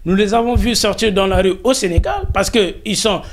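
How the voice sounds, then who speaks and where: High-pitched Congolese man, formal and emphatic. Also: fairly quick. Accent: Congolese French. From Congo-Brazzaville. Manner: formal, emphatic, repeats phrases for rhetorical effect. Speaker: interviewee, diaspora spokesman. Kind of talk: political interview answers.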